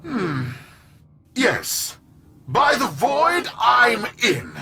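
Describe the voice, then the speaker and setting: A man playing a greedy, gloating merchant villain in a video game, with a theatrical, haughty, drawn-out delivery. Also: very high-pitched, huge pitch swings, gravelly, bright, forceful tone, faint music behind, full character voice. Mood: eager, bombastic.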